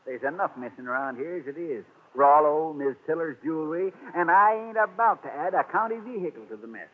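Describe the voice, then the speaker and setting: Blustery high-pitched country sheriff. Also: male voice, animated, a little fast, phone-quality sound. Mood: stubborn. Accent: American rural. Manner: folksy, huffy, hesitant. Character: slow-witted, suspicious, blustery rural male county sheriff. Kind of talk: 1940s radio character acting. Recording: thin, hissy old radio broadcast.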